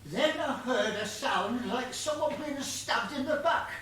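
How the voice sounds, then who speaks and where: A high-voiced, muddled gardener played by a stage actor in a comic play. The character stammers and rambles earnestly, ever deferential and literal. The sound is very echoey and far from the mic, with faint noise. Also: expressive, measured pace, a bit bright. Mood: eager, earnest. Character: rambling, muddled, literal-minded estate gardener being questioned. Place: stage of a small theatre. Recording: distant echoey theatre recording, faint noise.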